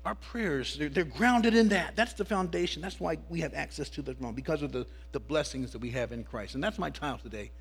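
Husky-voiced pastor, halting sermon delivery. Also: male voice, fairly high pitch. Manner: halting, repeats words as he starts phrases. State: clears throat often.

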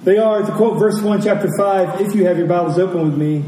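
A man preaching a sermon in a deliberate, emphatic way, with dramatic pauses between phrases. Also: sits high, mellow. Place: church service, speaking to congregation. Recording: roomy echo, distant mic, faint noise.

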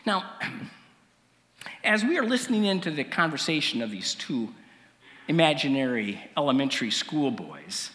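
A male preacher with a slightly rough voice, giving a story-led sermon in a conversational, halting way with frequent pauses and throat clearing. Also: a little high, sing-song, fairly bright tone. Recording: reverberant hall.

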